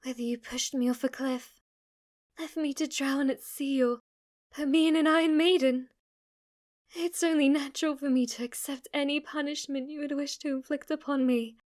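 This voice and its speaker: A woman's voice: high voice, fairly even intonation, moderate pace, a little mellow, natural voice. A woman playing a devoted, submissive demon maid in an ASMR roleplay, with a formal, deferential and adoring manner. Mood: deferential, composed. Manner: formal, deferential, breathless adoration, sometimes whispering. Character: devoted, submissive, obsessively worshipful female demon maid servant.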